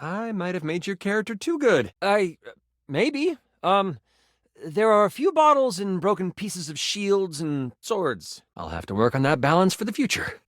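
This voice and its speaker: Theatrical, animated male game master. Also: high voice, moderate pace, dry recording, studio quality. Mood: casual, unsure. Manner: theatrical, slips into hesitant casual asides. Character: living D20 die, excitable nerdy male game master. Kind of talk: dramatic tabletop fantasy narration with casual asides.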